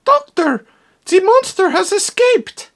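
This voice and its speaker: A meek, whiny hunchback assistant, played by a man, with a voice pushed far above his normal range. He talks in lively, squeaky bursts that swoop up and down. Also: slow, heavily acted. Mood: alarmed.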